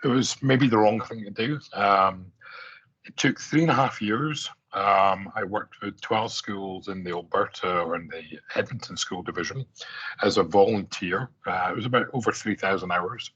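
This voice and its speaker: A man's voice: lowish voice, normal speed, a bit bright, slightly rough, lo-fi sound. A middle-aged man from Scotland with an Aberdeen accent, giving a conference presentation in a conversational way, with many fillers and restarts. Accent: Scottish, Aberdeen. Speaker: conference speaker.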